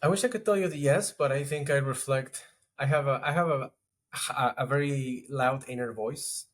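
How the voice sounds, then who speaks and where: A man's voice: mid-range voice, normal speed. A man speaking as a podcast guest in a casual interview, reflective, with frequent restarts and filler words. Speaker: podcast guest, strategy executive.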